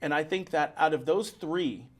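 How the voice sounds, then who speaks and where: A man's voice: fairly high pitch, moderate pace. A man co-hosting a podcast, casually reading news notes aloud and stumbling over unfamiliar place names. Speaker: podcast co-host.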